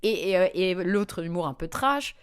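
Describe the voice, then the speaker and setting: A woman comedian, a guest in an interview, telling lively personal anecdotes with frequent fillers. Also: on the low side, moderate pace, clear, resonant tone. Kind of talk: anecdotal storytelling in conversation.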